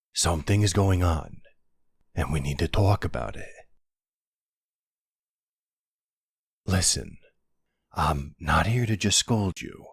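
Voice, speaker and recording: Husky, low-voiced worried boyfriend, played by a man. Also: fairly bright tone, dry recording, full character voice. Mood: worried, pleading. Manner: earnest, pleading, exasperated. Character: worried, insistent boyfriend pleading with his partner. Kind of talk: boyfriend roleplay audio drama.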